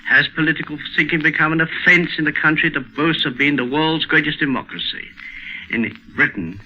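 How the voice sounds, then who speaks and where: A man's voice: on the high side, brisk. A man, a newspaper correspondent, reading aloud in a formal 1940s radio style. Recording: muffled 1940s radio recording.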